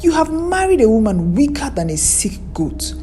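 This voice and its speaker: Jeering village man, voiced by a woman, expressive. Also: high voice, normal speed, slight background noise, voice pushed up. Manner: jeering, contemptuous. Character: mocking village men, jeering and taunting.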